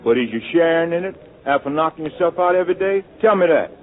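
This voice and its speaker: A man's voice: a little high, animated, fast, slight background noise, close to an everyday voice. An actor playing a bitter, skeptical man arguing in colloquial, sardonic speech in a 1940s radio drama. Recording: tinny old radio with music behind.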